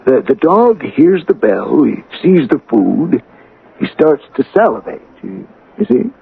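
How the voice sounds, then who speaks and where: An actor playing an insinuating, folksy, coolly scheming townsman in a 1980s radio drama, with a raspy, creaky voice. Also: on the high side, big pitch swings, unhurried, exaggerated voice. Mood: patient, explaining. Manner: folksy, leading, persuasive. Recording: dull lo-fi radio recording.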